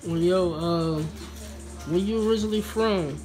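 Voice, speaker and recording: A man interviewing a guest, asking questions haltingly with many fillers. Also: high-pitched, a little mellow, faint music behind, rough recording. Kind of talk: casual interview.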